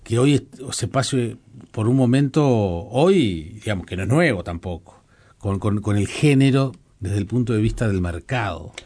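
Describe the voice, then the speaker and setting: A man's voice: on the low side, lively intonation, unhurried, darkish tone, breathy edge. A man hosting an interview, asking long, meandering questions. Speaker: host. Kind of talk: interview conversation.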